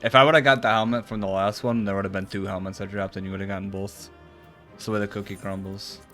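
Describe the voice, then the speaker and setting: Bright-voiced, low-pitched man gaming. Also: flat delivery, fast. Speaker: streamer playing an online raid game. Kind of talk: casual gaming chat with friends. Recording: game music behind the voice.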